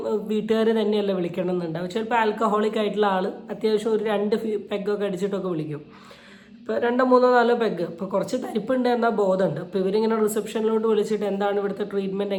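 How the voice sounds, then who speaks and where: A woman talks casually through her opinions as a video commentator. She explains each point and slips into English phrases. Her medium-pitched voice sits in a noticeably echoey room. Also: a little mellow. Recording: echoey, roomy sound.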